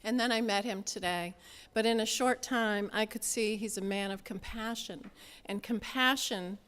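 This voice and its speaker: A woman with a smooth, clear voice of average pitch introduces a speaker in a measured, formal manner. Her words ring with the long reverb of a large hall. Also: a bit bright. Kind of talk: prepared conference introduction.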